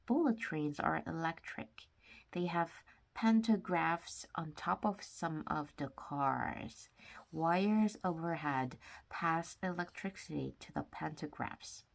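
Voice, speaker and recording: A woman reading a children's nonfiction book aloud with simple, deliberate phrasing, sometimes stumbling over words. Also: low voice, creaky. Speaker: read-aloud narrator of children's books.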